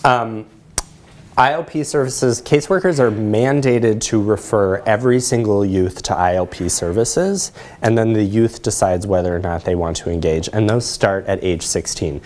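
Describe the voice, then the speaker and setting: A man's voice: lowish voice, moderate pace, a little mellow, slight background noise. A man around forty with an American accent, explaining things as a presenter taking questions in a lecture room.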